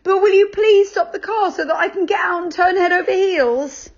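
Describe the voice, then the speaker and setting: Woman doing flustered clockwork-clown boy. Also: moderate pace. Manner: squeaky, stammering, keeps apologizing. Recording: dull lo-fi recording.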